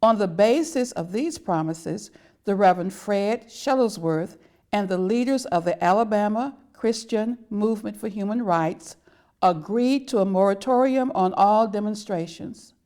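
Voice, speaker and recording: A woman's voice: mid-range voice, unhurried, warm tone. A woman reading a historic letter aloud as scripted text.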